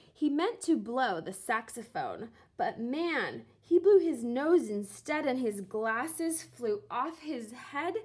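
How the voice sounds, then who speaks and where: Woman, very lively intonation, unhurried, expressive children's story reading, deliberate theatrical phrasing. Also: on the high side, breathy edge. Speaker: reader of a children's picture book, teacher. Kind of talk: expressive storybook read-aloud.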